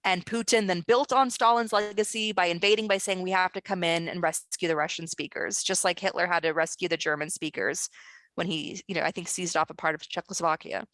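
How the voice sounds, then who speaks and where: A woman author and screenwriter speaking as a guest in an online discussion, talking in an earnest, urgent way with quick restarts. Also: lowish voice, steady tone, fairly bright tone, no echo. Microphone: video call.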